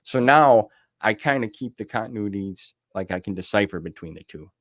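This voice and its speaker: A man's voice: fairly low pitch, expressive, moderate pace, dry recording, clean studio sound. A man hosting an interview podcast, chatting casually in an informal, easygoing way.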